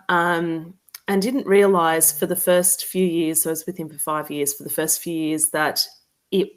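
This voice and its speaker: An Australian woman in her forties talking casually and candidly as an interview guest, with a wry, joking manner. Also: fairly low pitch. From New South Wales, Australia. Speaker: interview guest, romance author.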